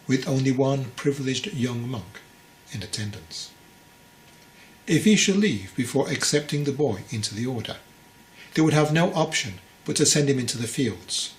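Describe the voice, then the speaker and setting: A male narrator reads a scripted story in a medium-pitched voice. He moves phrase by phrase, measured, leaving deliberate pauses as he tells it. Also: rough recording. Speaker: author reading his own book aloud.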